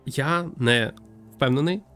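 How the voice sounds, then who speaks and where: A young man from Ukraine thinking aloud as he streams a game, with hesitant pauses and wry joking. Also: mid-range voice, a bit bright. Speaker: streamer playing a visual novel. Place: small room at home with string lights. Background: game music.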